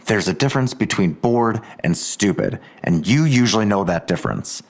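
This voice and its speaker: A man's voice: fairly low pitch, animated, moderate pace. An actor playing a hot-tempered police detective and protective father, blunt and confrontational, in an audio drama. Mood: stern, angry.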